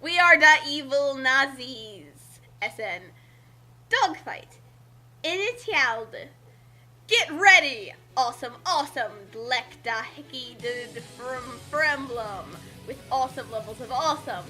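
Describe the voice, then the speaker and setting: A woman with a high, bright voice reads a fan story aloud at a slow pace, playful and dramatic, slipping into casual asides. Music plays clearly behind her. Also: lively intonation. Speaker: reader and co-host of a group story reading.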